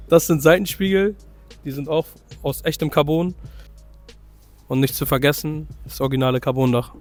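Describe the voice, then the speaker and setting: A young man with a slightly hoarse voice from a cold, chatting loosely through a walkaround of his car and correcting himself as he goes. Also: on the high side, fairly even intonation, moderate pace, long pauses, a little mellow, soft background music. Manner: loose, chatty, full of fillers and self-corrections. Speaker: car vlogger showing his own car. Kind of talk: casual car walkaround vlog.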